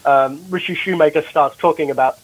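A man's voice: a little high, a little fast, poor audio. A male doctor explaining a health topic in a recorded panel discussion, with frequent restarts. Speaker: doctor presenter. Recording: replay of a Clubhouse audio room.

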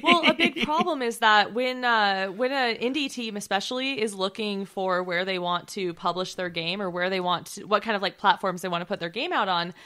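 A woman with a medium-pitched voice chats on a podcast panel. She explains in an informal, conversational style, talking loosely and casually. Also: normal speed, hardly any pauses, bright, forceful tone.

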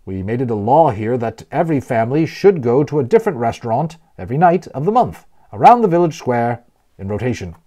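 Animated man reading a storybook aloud. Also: medium pitch, a little fast. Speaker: audiobook narrator and storyteller. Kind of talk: animated read-aloud of a children's novel.

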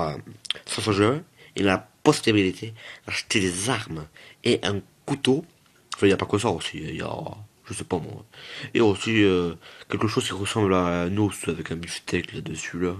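A man giving casual, rambling gameplay commentary as a video host, repeating himself and digressing jokingly. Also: low-pitched.

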